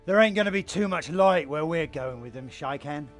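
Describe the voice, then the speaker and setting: A man as a high-pitched, cocky dark creature. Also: expressive, normal speed, soft background music, lightly acted. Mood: gleeful mockery. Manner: mocking, irreverent, theatrical. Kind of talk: fantasy game cutscene acting.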